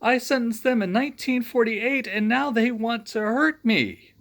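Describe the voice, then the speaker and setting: A man's voice: very high-pitched, normal speed, a bit bright, voice pushed up. A man voicing a stern older male judge who is easily frightened. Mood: pleading.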